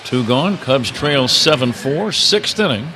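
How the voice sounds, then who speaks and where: A man's voice: medium pitch, a little slow, background noise. A man calling a baseball game live on the radio as the play-by-play announcer, with the ballpark behind him. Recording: steady ballpark ambience behind the voice. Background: outdoor ballpark ambience.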